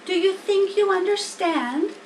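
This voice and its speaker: A wise female spider from a storybook, acted by a woman, speaks slowly in a high, motherly voice. She is cool, reassuring and gently firm, heard in a roomy space. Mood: advising. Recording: roomy, distant mic, faint background noise.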